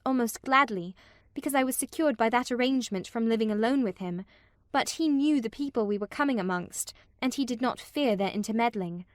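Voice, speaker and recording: A woman voicing a despairing, proud and weary young gentlewoman who tells her story expressively in a dramatized novel reading. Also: on the high side, lightly acted. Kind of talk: dramatized audiobook narration of a classic novel, in first person.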